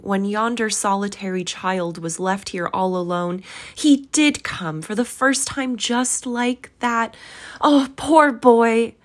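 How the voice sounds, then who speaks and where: A woman voicing an old miser man in childlike, tearful ecstasy, exclaiming excitedly as a storybook character. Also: fairly low pitch, voice pushed up.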